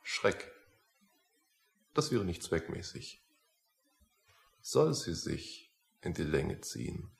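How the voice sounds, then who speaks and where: An enigmatic philosophizer in a radio play. His voice is fairly low with gravel in it and varied intonation. He talks cryptically and in measured phrases, quietly determined. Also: very animated, heavily acted. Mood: resigned.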